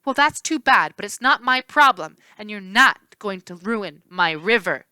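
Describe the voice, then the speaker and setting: A woman's voice: medium pitch, lively intonation, measured pace, sharp tone, clean tone, no echo. A woman voicing a feisty, indignant young female river nymph in a storybook, sassy and emphatic.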